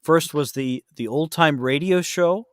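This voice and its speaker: A man's voice: a little high, unhurried, dry recording, studio quality. A man chatting casually as a podcast guest, telling anecdotes and acting out the remarks he quotes.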